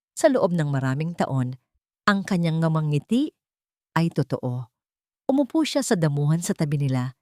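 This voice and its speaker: A woman narrating a scripted audio drama in measured, expressive short phrases. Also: low voice, no echo, clean studio sound.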